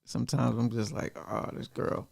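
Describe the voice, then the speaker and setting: A soft-spoken man in his forties answering interview questions in a studio, hesitant, with many ums. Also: medium pitch, flat delivery, moderate pace, creaky, no echo. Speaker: guest entrepreneur. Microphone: studio mic.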